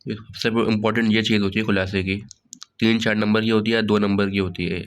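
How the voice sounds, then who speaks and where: A hoarse man with a sore throat walking students through a study guide in a relaxed way, often saying "theek hai". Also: fairly low pitch, fast. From Pakistan. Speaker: online tutor. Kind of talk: casual study-guide walkthrough.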